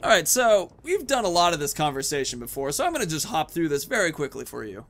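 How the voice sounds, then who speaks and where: A man's voice: fairly high pitch, big pitch swings, fast, a bit bright. A man in his thirties giving casual, chatty let's-play commentary, thinking aloud as he plays. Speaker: gaming video host. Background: video game sounds.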